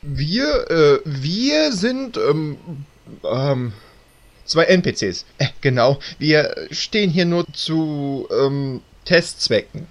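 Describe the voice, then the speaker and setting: A man's voice: on the high side, very animated, very slow, heavily acted. A man voicing a flustered, stammering male author figure in a fan audio drama.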